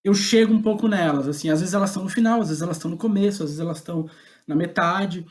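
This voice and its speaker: A man's voice: high voice, rapid-fire. A man with a Brazilian accent speaking as a guest playwright in a reflective interview, thinking aloud and often rephrasing himself. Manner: hesitant, thoughtful, often reformulating.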